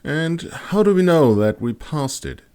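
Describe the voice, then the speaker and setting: A man's voice: a little high, sing-song, unhurried, mellow, clean tone, voice pushed up. A man voicing a curious young female mercenary with a casual, questioning manner in an audiobook.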